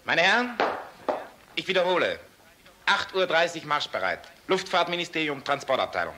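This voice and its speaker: An obedient military officer in a radio play. He is crisp and brisk, with a fairly high, very bright voice and lively pitch. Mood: brisk, official. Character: brisk, obedient male officer relaying orders. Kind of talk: German radio drama acting.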